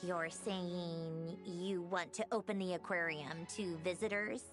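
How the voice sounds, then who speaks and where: A woman's voice: fairly low pitch, fairly even intonation, measured pace, over music, lightly acted. A woman playing a dry, long-suffering but generous researcher in a video game, with a wry delivery. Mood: hesitant. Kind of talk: video game character dialogue.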